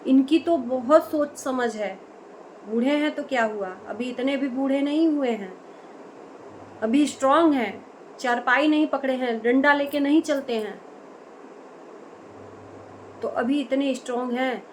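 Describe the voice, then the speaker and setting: High-pitched woman giving emphatic commentary, noisy recording. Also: a little slow, pauses often. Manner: emphatic, full of rhetorical questions. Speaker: online commentator. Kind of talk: opinionated vlog commentary on a family dispute. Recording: noticeable background noise.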